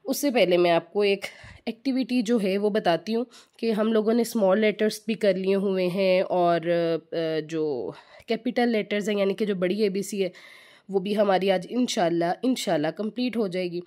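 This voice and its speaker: A woman with a slightly hoarse throat, giving a chatty home tutorial for mothers that mixes in English words. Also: mid-range voice, moderate pace, mellow. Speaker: home teaching channel host. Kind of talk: casual home tutorial talk.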